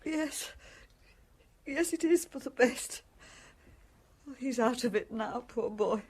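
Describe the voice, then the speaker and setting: An actress in a radio drama playing a sorrowful, motherly lady giving gentle comfort in a creaky voice. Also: fairly high pitch, takes it slowly. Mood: sad, tender.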